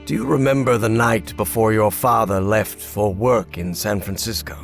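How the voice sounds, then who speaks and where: Guy acting as older professor in a game, lowish gravelly voice, guilt-ridden and halting, music behind. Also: steady tone, natural voice. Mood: somber recollection. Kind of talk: dramatic video game acting.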